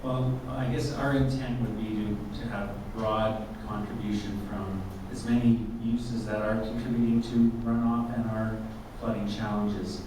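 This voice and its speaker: A man from city staff answers residents' questions, breaking off and restarting as he goes. His fairly low voice carries from far off through a booming, echoey arena, with steady noise underneath. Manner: halting, with many restarts.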